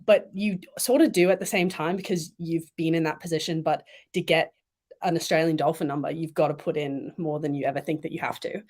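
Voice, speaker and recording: Chatty young woman, lowish voice. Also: fairly quick. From Thailand and Malaysia. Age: young adult. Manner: chatty, relaxed, many fillers and "like"s. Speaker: guest swimmer. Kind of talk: casual interview chat.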